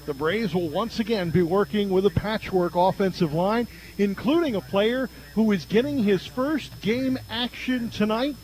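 A man's voice: high voice, darkish tone, low-quality recording. A man doing conversational pregame football commentary on the radio, emphatically reeling off stats as the play-by-play announcer. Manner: emphatic, reels off statistics.

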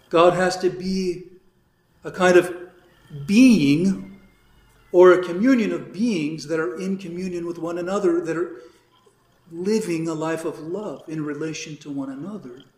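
A man, a priest recovering from illness, teaching a catechism class in a conversational way, his voice slightly raspy and a little weak. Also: a little high, measured pace, a little mellow. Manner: conversational, with many fillers and restarts. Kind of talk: informal religious teaching. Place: church classroom. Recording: echoey room.

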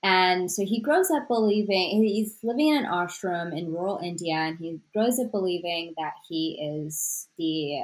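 A woman's voice: on the low side, moderate pace, few pauses. A woman author born in Sri Lanka, answering interview questions thoughtfully with many "you know" fillers and restarts. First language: Tamil. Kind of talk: interview conversation.

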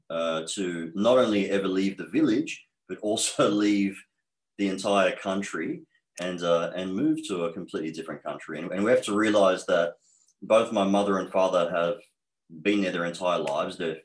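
Deep-voiced Australian man in his thirties. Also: roomy sound, rough recording. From Australia, born in Egypt. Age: 30s. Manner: earnest and upbeat, frequent fillers and restarts. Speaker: guest. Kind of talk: podcast interview.

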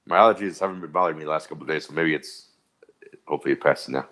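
Deep voiced guy, dry teasing, casual podcast chat. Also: rapid-fire, a bit bright. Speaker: podcast co-host.

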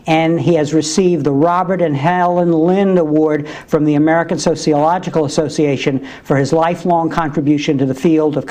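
A man hosting an interview, introducing his guest and asking gentle, probing questions. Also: fairly high pitch. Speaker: interview host.

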